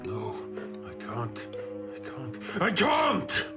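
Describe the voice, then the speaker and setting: Male actor as tormented bird-creature father, halting, slow, pleading, over prominent music. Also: mid-range voice, huge pitch swings, full character voice. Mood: desperate. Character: anguished bird-creature father turning into a monster. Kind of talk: dramatic audio story acting. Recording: loud music behind.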